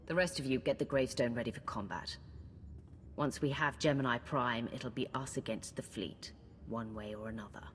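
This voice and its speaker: A woman playing a steady, commanding leader giving orders in a game cutscene. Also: very deep voice, moderate pace, long reverb tail, background noise.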